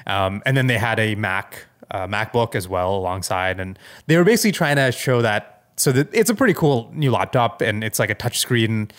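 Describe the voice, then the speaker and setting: A man's voice: lowish voice, animated, moderate pace, few pauses. A man co-hosting a podcast in a casual, rambling tech chat, informal and full of loose asides. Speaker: podcast co-host.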